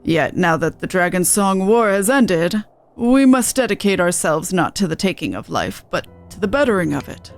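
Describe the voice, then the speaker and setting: A woman's voice: lowish voice, big pitch swings, moderate pace, faint music behind. A woman voicing a dignified older nobleman in a video game, earnest and formal with archaic phrasing. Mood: solemn, confessional. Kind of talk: amateur game dialogue read-aloud.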